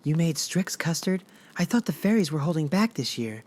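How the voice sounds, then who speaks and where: A mischievous young satyr with a sweet tooth, wheedling his way toward treats, as a woman puts him on. The voice sits deep for her and swings widely in pitch, playful and cheeky throughout. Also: very animated, moderate pace, darkish tone.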